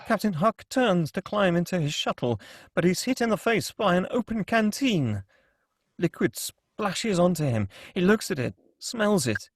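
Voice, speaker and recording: Higher-voiced man narrating, close and dry. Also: very animated, unhurried, dry recording. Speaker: narrator reading stage directions. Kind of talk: scripted screenplay narration.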